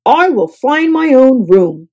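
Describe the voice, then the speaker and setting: A woman's voice: sits high, big pitch swings, takes it slowly, warm tone, heavily acted. A woman voicing a grumpy, sleepy, demanding man staying at a hotel, grumbling and fuming in a storybook reading. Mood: annoyed, demanding.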